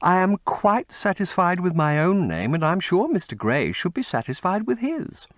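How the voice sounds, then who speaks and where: A man's voice: sits high, big pitch swings, normal speed, a bit bright, dry recording, studio quality, pitched above the performer's own voice. A man voicing a coy, teasing noble lady in a lifted, lilting voice. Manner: lilting, light, raised voice.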